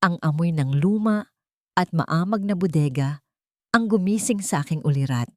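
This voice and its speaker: Woman, slightly low voice, dramatic first-person story narration, very dry close sound. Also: expressive, normal speed, mellow, dry recording.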